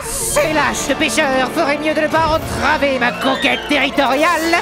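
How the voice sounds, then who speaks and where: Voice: high voice, expressive, normal speed, over loud music, a loud crowd. A performer voicing a pompous, grandiose snake demon inventor in a theatrical cartoon villain manner. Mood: boastful. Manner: pompous, declamatory. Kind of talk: cartoon villain fan dub.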